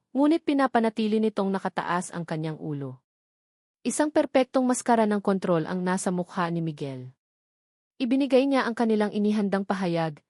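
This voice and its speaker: Female audiobook narrator, medium pitch, dramatic scripted storytelling, close dry recording. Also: darkish tone, dry recording.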